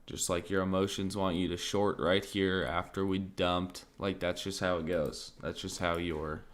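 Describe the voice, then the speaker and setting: A man streaming crypto market commentary in a casual, thinking-aloud way, his voice slightly raspy and often interrupted by throat clearing. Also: sits low, moderate pace. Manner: relaxed, thinking aloud, many fillers like "like" and "um".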